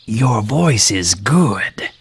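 A sly storybook fox with a deep, very husky voice. He flatters slowly and schemingly, in swooping, drawn-out phrases. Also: exaggerated voice. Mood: smug. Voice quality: low gravelly husky. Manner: slow, drawn-out, smooth-talking flattery. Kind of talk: children's storybook character acting.

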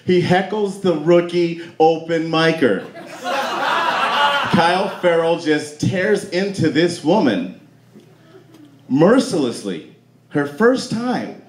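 Animated American stand-up comic, brash man. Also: on the high side, takes it slowly, long pauses. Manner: brash, animated, swearing, talking back to hecklers. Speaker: stand-up comedian at a roast. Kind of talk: stand-up roast, profane crowd banter. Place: club stage before a live audience. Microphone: handheld stage mic through PA, filmed from the crowd. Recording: roomy club PA with audience noise.

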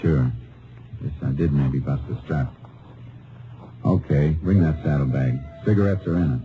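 Deep deadpan american lawman, quietly menacing, old radio western, lots of hiss. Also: unhurried. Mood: laconic, casual. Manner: laconic, deadpan. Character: calm, needling male Texas Ranger, quietly menacing. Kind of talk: 1940s-50s radio western acting. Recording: noisy old-time radio recording.

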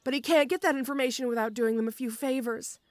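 A young woman playing a shy, gentle tomboy orc schoolgirl in an audio roleplay, with a hesitant, stammering, bashful manner. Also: high-pitched, quick pace, dry recording, lightly acted. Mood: shy, earnest.

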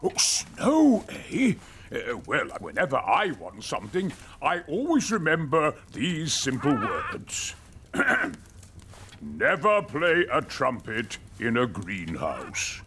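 Doddery old great-uncle, wildly swooping intonation. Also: male voice, medium pitch, huge pitch swings, slow pace, pushed and bright, a little hiss or hum, exaggerated voice. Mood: befuddled. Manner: bumbling, hesitant, muttering. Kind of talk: animated children's cartoon acting.

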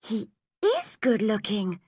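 Woman playing a young storybook girl, high voice, proud, quick-tempered, emphatic, dry close recording. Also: lively intonation, bright, forceful tone, no room sound, exaggerated voice. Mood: dismissive. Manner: emotional, emphatic exclamations.

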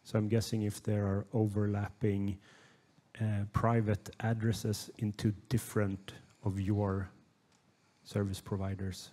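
A man's voice: lowish voice, little pitch movement, a little slow, warm tone. A man relaying audience questions as moderator at a conference. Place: conference room, indoors.

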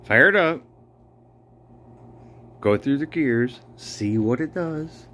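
A man's voice: medium pitch, expressive, slow pace. A man speaking casually and bluntly as a bossy home mechanic, troubleshooting and giving orders with some swearing. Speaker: home mechanic filming a garage video. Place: indoors in a cluttered home garage. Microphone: handheld phone camera, speaker behind it. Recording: noisy garage with loud idling engine. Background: idling car engine.

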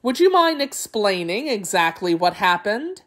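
Sly jackal for a storybook, played by a woman: polite, dithering, playing confused, with very animated swooping pitch. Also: unhurried. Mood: puzzled, polite. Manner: polite, dithering, feigning bewilderment. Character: sly jackal feigning befuddled, fretful confusion. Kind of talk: storybook character voice.

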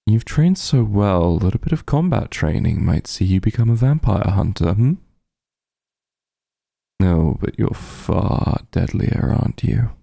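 Dark, husky vampire mafia heir, played by a man. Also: lowish voice, lively intonation, dry recording, clean studio sound, exaggerated voice. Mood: playful, affectionate. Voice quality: husky, breathy, gravelly. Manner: slow, smooth-tempered, teasing drawl with soft murmurs. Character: teasing, protective male vampire mafia heir, possessive and sly. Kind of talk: intimate ASMR roleplay acting.

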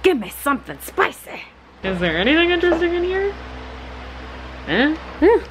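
A young adult woman chatting casually in a vlog, gushing and exclaiming in an excitable way. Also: very high-pitched, moderate pace. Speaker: vlogger. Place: indoors, bookstores and an empty room at home. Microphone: handheld camera at arm's length. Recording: noticeable background noise.

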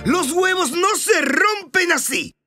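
A woman voicing a grumpy male dog chef who shouts angry commands in a cartoon. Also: extremely high pitch, animated, takes it slowly, over loud music, full character voice.

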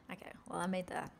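A young woman from Norway with a Norwegian accent, chatting playfully with viewers while narrating her game character. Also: a little hiss or hum. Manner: chatty, jokey, chatting with chat while playing. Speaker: game streamer.

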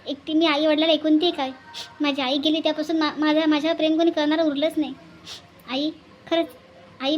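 Someone doing a sweet earnest city lady for a cartoon drama, super high voice, polite, sentimental, pleading. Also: highs cut off, slight background noise, close to an everyday voice. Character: earnest, tender orphanage woman from Mumbai, bidding farewell. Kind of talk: melodramatic cartoon dialogue.

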